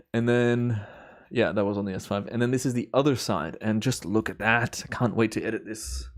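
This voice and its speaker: A slightly hoarse young man from Belgium, chatting casually with viewers while reviewing his timelapse footage on a live stream. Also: medium pitch. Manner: relaxed, rambling, chatty with viewers. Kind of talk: relaxed live stream commentary.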